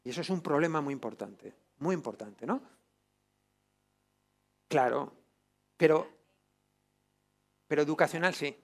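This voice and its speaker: Higher-voiced Castilian Spanish man lecturing informally. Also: animated, measured pace, creaky, pauses often. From Spain. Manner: colloquial, emphatic, seeks agreement with tag questions. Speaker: lecturer, doctor. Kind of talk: informal lecture to a group of health professionals.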